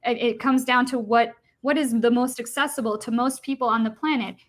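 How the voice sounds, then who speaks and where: A woman from Costa Rica speaks in a fairly high voice as a panelist in an online debate. She explains her points earnestly, with frequent fillers and restarts along the way. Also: steady tone, brisk. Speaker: vegan debater.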